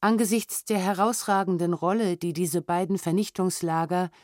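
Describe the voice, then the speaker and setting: A woman's voice: on the low side, steady tone, normal speed, darkish tone, no room sound, clean studio sound. A woman reading historical documents as scripted audio narration, formal and sober, with precise enunciation. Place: dry studio.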